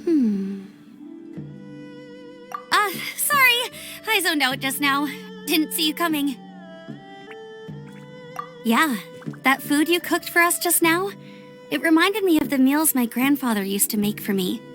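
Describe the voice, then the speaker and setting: An easygoing, friendly young outrider girl from a video game. Her voice is fairly high, casual and sincere, with music playing behind it. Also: big pitch swings, takes it slowly, pauses often, fairly bright tone, heavily acted. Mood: sheepish, warm. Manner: casual, chatty. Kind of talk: video game cutscene acting.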